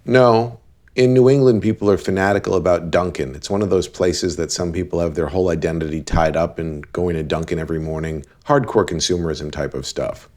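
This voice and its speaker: A man playing a blunt, deadpan cab driver who gives relationship advice in an animated series. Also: deep voice, a little fast, natural voice. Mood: dry, explanatory.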